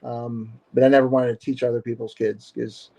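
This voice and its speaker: A man's voice: medium pitch, level tone, fairly quick, vocal fry, warm tone, low-quality recording. A man, a martial arts instructor, chatting as a podcast guest in a hesitant, easygoing way, often laughing. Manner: hesitant, many fillers and restarts, laughs easily. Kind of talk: casual podcast conversation.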